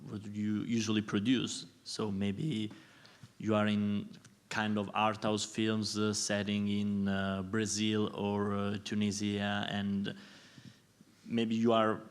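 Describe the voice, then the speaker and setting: Low, flat-voiced man asking a rambling question. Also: takes it slowly, clear, resonant tone. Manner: rambling, tentative, repeats "maybe". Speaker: audience member asking a question. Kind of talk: panel question.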